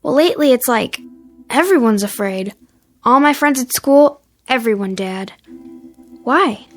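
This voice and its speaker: An actress in a radio drama playing an earnest, caring teenage daughter who questions anxiously. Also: female voice, on the high side, animated, measured pace, faint music behind. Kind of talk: naturalistic radio drama acting.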